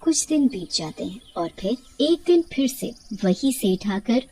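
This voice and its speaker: A woman's voice: a little high, very animated, unhurried, a little mellow, husky. A woman reading scripted horror story narration as the storyteller.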